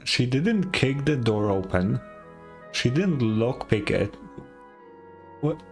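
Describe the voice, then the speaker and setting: A tired woman giving casual, blunt, deadpan commentary while reacting to a video game. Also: extremely low pitch, slow. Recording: game music faintly behind.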